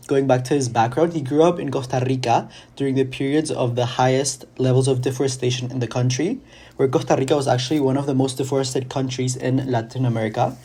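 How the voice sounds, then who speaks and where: A young man from Chile, raised in Argentina, speaks in a mellow, warm voice as a student guest on a podcast. He explains things at length and now and then breaks off to restart a sentence. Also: mid-range voice. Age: young adult. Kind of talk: casual interview answers.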